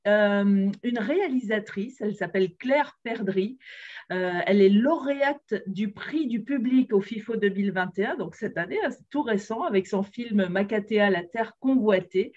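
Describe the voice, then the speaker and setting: A woman's voice: on the high side, a little mellow. Chatty woman interview host, lo-fi. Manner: chatty, with hesitant fillers. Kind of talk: casual interview. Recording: slightly dull lo-fi sound.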